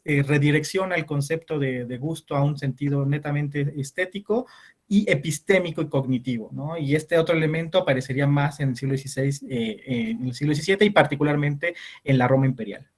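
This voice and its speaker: A male conference speaker answering questions at an online philosophy panel, hesitant with frequent restarts and fillers. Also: fairly high pitch. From Latin America. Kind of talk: academic Q&A answer.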